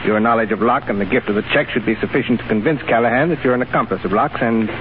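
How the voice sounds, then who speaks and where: An actor playing a curt, commanding secret police chief in a 1930s radio drama. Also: male voice, mid-range voice, fast talker, natural voice. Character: cold Soviet secret police chief, man. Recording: tinny, hissy 1930s radio broadcast.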